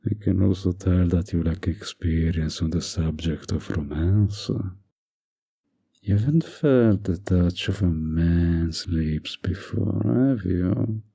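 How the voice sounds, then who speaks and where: A man playing a flirty, manipulative fantasy villain in a romantic audio roleplay, teasing and insinuating in a husky, breathy voice. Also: low-pitched, mellow, heavily acted. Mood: seductive, probing. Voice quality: husky, breathy, often near a whisper. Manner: slow, teasing, seductive, insinuating.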